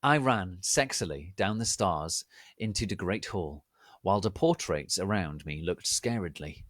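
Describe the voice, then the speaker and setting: A man giving a theatrical, deliberate, mock-serious dramatic reading of fan fiction. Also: low-pitched, animated, a bit bright, dry recording, clean studio sound. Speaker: reader of online fan fiction.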